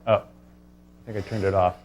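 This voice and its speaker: A man presenting as a scientist at a conference, speaking in a halting way with pauses mid-thought. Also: sits low, level tone, darkish tone. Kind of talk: conference talk and question answering.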